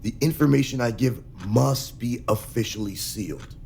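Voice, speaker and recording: An actor playing an anxious, secretive alien dignitary, speaking hesitantly and earnestly in a science fiction audio drama. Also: male voice, mid-range voice, moderate pace, creaky, a little mellow, slight background noise, natural voice. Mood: nervous. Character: anxious, secretive alien dignitary pleading for his endangered people.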